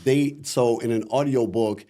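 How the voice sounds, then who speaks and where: A middle-aged man with a deep voice and a Midwestern American accent, chatting reflectively as a voice actor guest in an interview. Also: measured pace, mellow. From Akron, Ohio, USA. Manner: rambling, many "you know" fillers, reflective. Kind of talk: casual interview talk.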